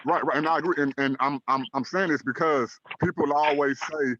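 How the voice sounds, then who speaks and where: A man in his thirties from Dallas, Texas, hosting an online talk show in a measured, earnest way, with frequent "um" and "uh" fillers. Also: on the high side, steady tone, dull audio, background noise. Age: 30s. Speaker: host.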